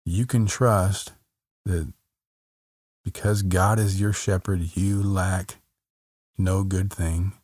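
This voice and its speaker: Casting: man with a very low voice, unhurried, gentle meditation guide, dry close sound. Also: no echo. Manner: slow, soft, calm, repeats phrases with long pauses.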